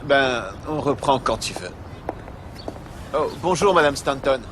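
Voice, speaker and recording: An actor playing an earnest young male cowboy, talking in a low-key, pleading way. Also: a little slow, background noise, natural voice. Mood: friendly.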